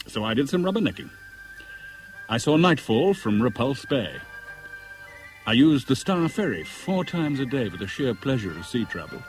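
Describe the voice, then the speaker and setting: Casting: male actor as determined lovelorn searcher, lively intonation, earnest literary delivery for vintage radio drama. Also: on the low side, natural voice, poor audio. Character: determined, lovelorn man searching Hong Kong for missing woman. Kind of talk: 1970s British radio drama, first-person narration and dialogue. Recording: radio drama with music or club crowd behind.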